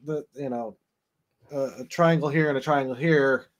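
A man with a mid-range voice guests on a relaxed podcast about game lore. He talks in a rambling, hesitant way, stopping and restarting as he works through his ideas. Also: mid-range voice, normal speed. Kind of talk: casual discussion of game lore.

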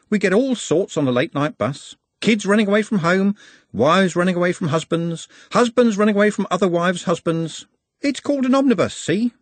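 A man voicing a chatty, wry, middle-aged male night-bus driver in a raised, lively voice. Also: sits high, no echo, pitched above the performer's own voice.

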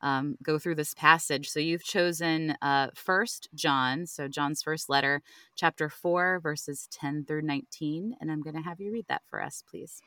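A woman's voice: low voice, measured pace, a bit bright, dry recording. A woman from Cleveland, Ohio, hosting a Bible podcast, friendly and affirming with easy laughs. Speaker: podcast host. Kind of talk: casual interview on a Bible podcast.

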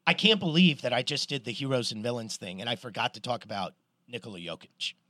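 Animated middle-aged man talking sports. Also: mid-range voice, quick pace, dry recording. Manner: animated storyteller, swears, restarts a lot. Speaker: podcast co-host. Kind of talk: casual sports chat.

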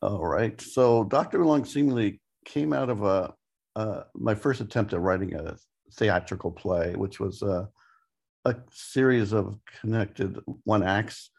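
Husky, creaky-voiced man chatting hesitantly. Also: on the low side, unhurried, a little mellow. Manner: hesitant, thinking aloud in fragments. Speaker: podcast co-host and writer. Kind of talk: casual interview chat.

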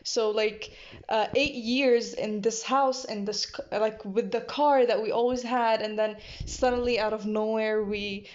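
A woman's voice: a little high, steady tone. A teenage girl co-hosting a casual podcast chat, gushing and giggly, a native Arabic speaker talking in English. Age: teens. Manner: giggly, gushing, quick bursts. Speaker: podcast co-host. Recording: dull lo-fi audio.

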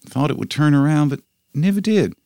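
An actor playing a desperate, apologetic farmer and father, with an American accent and a halting, pleading delivery. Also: a little high, animated, creaky, dark tone, no echo, clean studio sound. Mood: pleading, regretful. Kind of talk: dramatic film dialogue reading.

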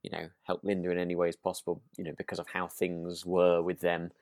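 A man's voice: low-pitched, completely flat delivery, fast, darkish tone, no room sound, clean studio sound. A British man co-hosting a podcast in casual conversation, tentative and often rephrasing as he goes. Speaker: podcast co-host. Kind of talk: casual chat discussing a radio drama.

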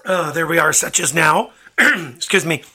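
A man with a slightly raspy voice, chatting informally as the host of a live radio interview show. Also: on the high side, lively intonation, unhurried, pushed and bright. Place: radio studio. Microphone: studio microphone.

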